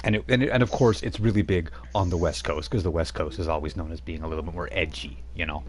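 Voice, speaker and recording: A man's voice: low voice, steady tone, fast. A man narrating as game master in a live-streamed tabletop game, rambling with many fillers. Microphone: home microphone on a live stream.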